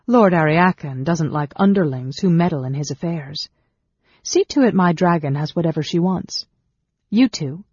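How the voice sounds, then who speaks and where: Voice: medium pitch, animated, measured pace, dark tone, slightly rough, no room sound, dull audio, voice pushed up. A narrator voicing a bold, cool woman warrior who speaks in a commanding, scornful manner in a fantasy storybook.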